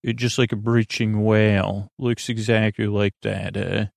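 A male podcast host with a creaky, gravelly voice, recorded close and dry. He talks casually in a halting, wandering way, drifting into tangents as he eases listeners toward bedtime. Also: fairly low pitch, little pitch movement, a little mellow, no room sound. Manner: meandering, halting, trails off into tangents. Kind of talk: rambling bedtime podcast intro, casual monologue.

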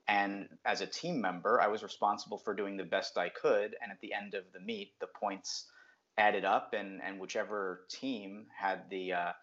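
A man's voice: deep voice, a bit bright. A middle-aged man from New Jersey, talking casually as an interview guest with many filler words. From New Jersey, USA. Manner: reflective, frequent um fillers. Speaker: guest, brand strategist. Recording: dull lo-fi audio.

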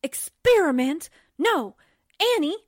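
A woman's voice: high-pitched, big pitch swings, takes it slowly, heavily acted. A woman playing a frightened, breathless newspaperwoman sidekick in a melodramatic 1940s radio drama style. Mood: terrified.

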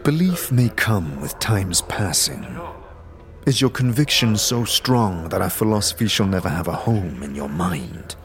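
A man playing a solemn Dustman elder in a fantasy audio drama, speaking in a measured, formal, philosophical manner. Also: low-pitched, big pitch swings, creaky, exaggerated voice. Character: solemn Dustman elder preaching oblivion and detachment from emotion.

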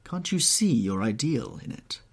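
A man performs a troubled young gentleman in a classic tale. He is theatrical and brooding, full of bitterness and despair. The voice is slightly rough and moves through a wide range of pitch. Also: big pitch swings, normal speed. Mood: bitter, despairing.

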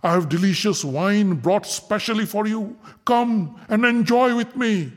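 A man voicing a lecherous, boastful male general with a slow, gloating drawl. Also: high-pitched, long reverb tail, pitched above the performer's own voice. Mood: leering.

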